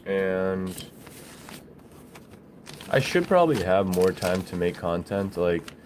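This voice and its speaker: Male livestream host, low register, unscripted and halting delivery, loose conversational phrasing, faint noise behind the voice. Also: sing-song, a little mellow. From Bulgaria. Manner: halting, rambling, informal. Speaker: livestream host, small business owner. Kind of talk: casual livestream chat answering viewers.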